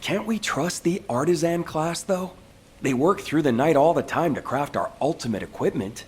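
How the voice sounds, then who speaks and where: An actor playing an earnest, energetic male task force soldier in a video game, direct and husky-voiced. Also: on the high side, moderate pace. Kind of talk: video game cutscene acting.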